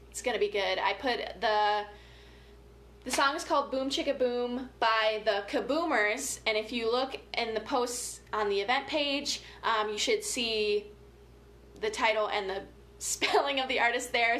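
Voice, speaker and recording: A woman's voice: fairly high pitch, a bit bright. A woman hosting a casual, interactive story time for children, chatting in a playful, informal and encouraging way. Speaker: story time host, family educator. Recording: echoey room with light background noise.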